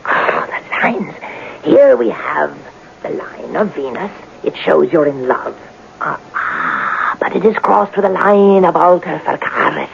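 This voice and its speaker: An actress playing a theatrical, money-minded palm reader in a radio drama, speaking melodramatically and repeating phrases as if in a mystical trance. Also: female voice, low voice, very animated, slow pace, gravelly, exaggerated voice. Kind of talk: 1970s radio drama acting. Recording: muffled, noisy old radio broadcast.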